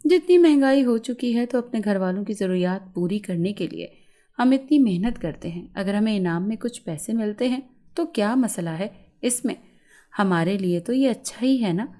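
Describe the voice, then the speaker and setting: Woman voicing a mellow, pragmatic female nurse. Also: medium pitch, expressive, moderate pace, lightly acted. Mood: matter-of-fact. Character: pragmatic female night-shift nurse, casually accepting. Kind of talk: storybook narration dialogue.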